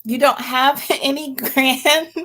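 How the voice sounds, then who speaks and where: A woman's voice: high voice, steady tone, takes it slowly, fairly bright tone. A middle-aged woman chatting casually and informally as host of a livestream, replying to viewers as she goes. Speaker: host of a home herbalism livestream. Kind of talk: casual livestream chat, reading and answering comments.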